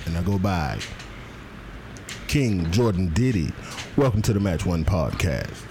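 A man's voice: sits low, big pitch swings, a little slow, noisy background. A young man co-hosting a casual podcast, teasing his partner in a laid-back, slangy way. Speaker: podcast co-host.

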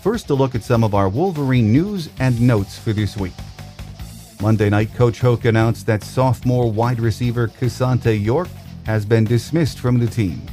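A man's voice: medium pitch, normal speed, mellow, faint music behind. A man hosting a sports podcast, presenting scripted news and introducing interviews. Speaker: podcast host.

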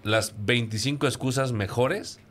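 A man's voice: mid-range voice, a little slow, a crowd in the background. A Mexican man chatting casually and jokingly as a guest on a panel.

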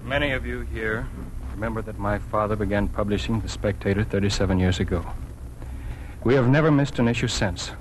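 An actor in a 1940s radio drama playing a dignified, earnest newspaper editor giving a measured public address. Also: male voice, fairly low pitch, close to an everyday voice. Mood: solemn. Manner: measured, solemn. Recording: hissy vintage 1940s radio recording.

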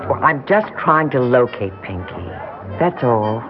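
Young welfare worker, earnest, courteous, hesitant, expressive intonation, vintage 1960s radio drama, muffled audio with music. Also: female voice, rumbling low, unhurried, crowd noise. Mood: polite, hesitant. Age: young adult.

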